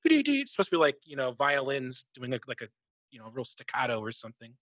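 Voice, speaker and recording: A man's voice: mid-range voice, huge pitch swings, fast talker, fairly bright tone, no echo. A man co-hosting a podcast, chatting casually about games, swearing freely and imitating sound effects. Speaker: podcast co-host. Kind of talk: casual gaming chat.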